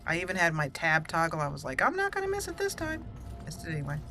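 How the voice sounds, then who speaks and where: Young woman, bright voice, chatty let's play commentary, rambling, giggly. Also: lowish voice, wildly swooping pitch, fast, faint music behind. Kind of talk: casual gameplay commentary.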